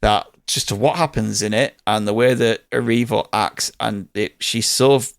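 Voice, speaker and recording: A man's voice: on the low side, steady tone, normal speed, creaky, no echo, clean studio sound. A man with a British accent giving an enthusiastic, informal film review in casual conversation. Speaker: podcast host reviewing films.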